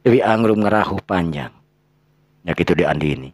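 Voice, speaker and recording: Sundanese guy telling a folktale, really low voice w big swoops, suspenseful broken phrases, dry recording. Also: expressive, moderate pace, no echo. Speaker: storyteller. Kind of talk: dramatic folktale narration.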